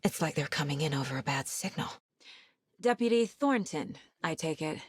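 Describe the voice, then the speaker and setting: A woman's voice: sits low, expressive, moderate pace, breathy edge, no echo, studio quality. A woman playing a serious, determined FBI agent in a video game, often musing to herself as she investigates. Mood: serious, questioning. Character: determined, level-headed female FBI agent investigating supernatural horror. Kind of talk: dramatic video game voice lines.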